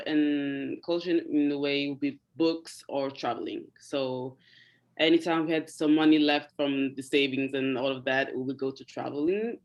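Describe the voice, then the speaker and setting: A young woman from Italy, whose first language is Italian, speaking in a casual interview and often pausing with fillers. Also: low-pitched. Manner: hesitant, many ums, laughs often. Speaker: interview guest.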